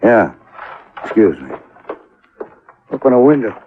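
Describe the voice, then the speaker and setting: An American actor playing an easygoing, laconic police lieutenant in a 1950s radio drama, unhurried and noncommittal, with a husky voice. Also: male voice, lowish voice, big pitch swings, a little hiss or hum. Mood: laconic, matter-of-fact. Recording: dull vintage radio recording.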